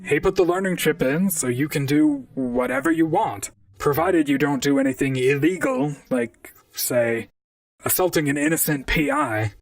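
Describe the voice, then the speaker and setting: A robot gumshoe in a radio-style drama, dry-witted and chatty. The pitch swoops widely over a gravelly, creaky edge. The voice sits slightly back from the mic in a dry space. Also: wildly swooping pitch, moderate pace, dry recording, distant mic, full character voice. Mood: wry, calm. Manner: dry, conversational first-person noir narration. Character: wry robot private detective, talkative and unflappable. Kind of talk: audio drama acting and narration.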